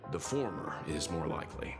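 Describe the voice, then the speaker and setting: Low-voiced male game advisor, courteous, analytical, formal, loud music behind. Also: sing-song, vocal fry, full character voice. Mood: grave, pensive. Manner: formal, deliberate, reasoned. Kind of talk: scripted game dialogue.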